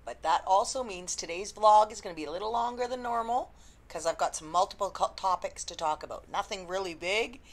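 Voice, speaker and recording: A woman, an indie romance author, chatting unscripted to camera with a slightly husky voice and lots of ums. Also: medium pitch, a little fast. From Canada. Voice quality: slightly husky after illness. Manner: chatty, rambling, frequent ums. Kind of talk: unscripted vlog chat.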